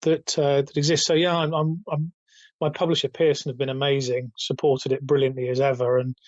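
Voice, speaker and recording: A man in his fifties with a raspy, somewhat high voice after illness, talking hesitantly with many restarts as an interview guest. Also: little pitch movement, brisk, no echo. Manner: hesitant, many restarts, chuckles between points. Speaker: guest, retail author and company founder. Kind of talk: casual interview over a call. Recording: dull, dry remote call audio.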